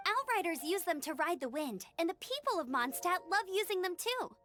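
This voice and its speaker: A woman's voice: very high-pitched, big pitch swings, normal speed, a bit bright, heavily acted. An actress playing a perky, earnest young female knight guide in a video game. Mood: eager. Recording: game music under the voice.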